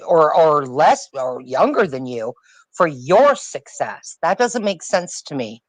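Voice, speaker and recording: A woman's voice: low-pitched, big pitch swings, slow pace, no room sound, low-quality recording. A woman on a live-streamed panel chat, talking about music in an emphatic, opinionated way and repeating her points. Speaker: panel guest.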